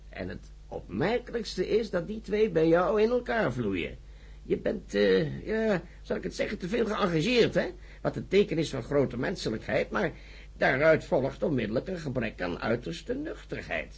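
A man playing a bluff, jovial old professor in a radio drama, with a raspy, gravelly voice and a blunt, lecturing manner. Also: fairly high pitch, exaggerated voice. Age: older. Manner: bluff, chuckling, lecturing, peppered with interjections.

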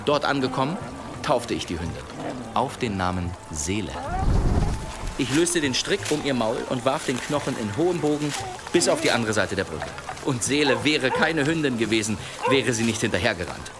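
A man in a radio play acting a courteous traveller who tells a sly tale in a polite, unhurried way. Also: medium pitch, expressive, close to an everyday voice. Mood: sly storytelling. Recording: music under the voice.

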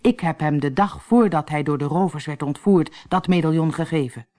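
A woman voicing an irate old father in a storybook, blustering, loud and commanding. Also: deep voice, normal speed, close to an everyday voice. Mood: astonished, urgent. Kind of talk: storybook character acting.

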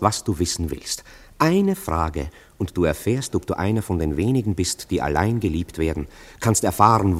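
An actor playing a sceptical, worldly, ironic friend in a German radio play, with a dry, probing, rhetorical delivery. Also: male voice, lowish voice, slightly rough. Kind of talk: German radio play acting of a stage comedy.

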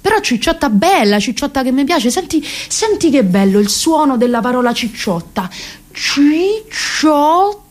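A woman's voice: high voice, very animated, measured pace, voice pushed up. A woman voicing an affectionate but tactless young boyfriend, coaxing and stretching out words in a sing-song way. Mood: coaxing, teasing.

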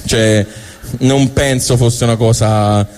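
A man with a Tuscan accent delivering a colloquial, digressive comic monologue on stage, with halting asides. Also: mid-range voice, steady tone, darkish tone, slight background noise. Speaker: comic storyteller. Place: live stage show.

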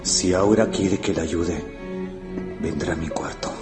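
A brooding, infatuated young man in a radio play. His voice is very low, and he muses to himself with intensity. Music runs behind him. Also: a little slow. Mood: hopeful longing. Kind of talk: radio drama acting, interior monologue. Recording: music bed under the voice.

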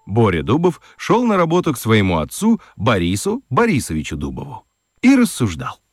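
A man's voice: medium pitch, wildly swooping pitch, moderate pace, husky, no echo, faint music behind. A man narrating a children's story as a scripted radio narrator. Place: dry studio.

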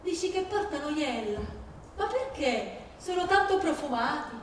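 A woman's voice: high voice, big pitch swings, normal speed. A woman playing a grieving, bitter mother speaking to her dead son in a theatrical monologue. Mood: tender mourning. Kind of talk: theatrical dramatic monologue in a staged reading. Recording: very echoey, distant, faint noise.

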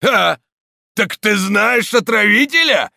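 A man voicing a brutal stonebreaker who taunts and shouts at his victims. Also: high-pitched, lively intonation, takes it slowly, vocal fry, no echo, clean studio sound, voice pushed up, full character voice. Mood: taunting. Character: brutal male stonebreaker leading a mob.

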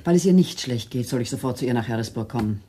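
An actress in a radio play as a bitter, jealous wife who speaks in an accusatory, sharp-tongued way. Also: female voice, very deep voice, expressive, quick pace, noisy background. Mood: exasperated.

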